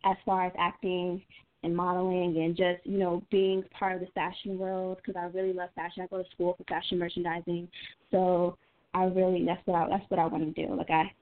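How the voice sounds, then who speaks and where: A woman's voice: fairly low pitch, monotone, brisk. A young woman from Atlanta giving a casual radio interview as a guest singer, informal and rephrasing as she goes. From Atlanta, United States. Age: young adult. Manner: informal, repeats and rephrases herself. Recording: tinny, phone-like line.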